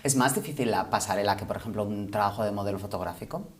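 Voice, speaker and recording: A woman's voice: very deep voice, quick pace. A woman conducts a conversational television interview. She sounds informal and attentive as she puts her questions. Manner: informal, attentive, frequent murmured acknowledgements.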